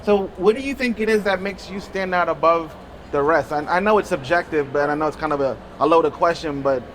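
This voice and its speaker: A male interviewer fires off quick, friendly questions in a high, fast voice. Faint outdoor ambience sits behind him at the fair. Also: fairly bright tone, a little hiss or hum. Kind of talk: casual interview. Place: outdoor art fair in a city.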